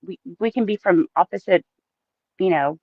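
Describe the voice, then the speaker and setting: A woman's voice: fairly low pitch, normal speed, no echo. A woman in casual, playful banter as a guest on a stream, over a slightly lo-fi voice call.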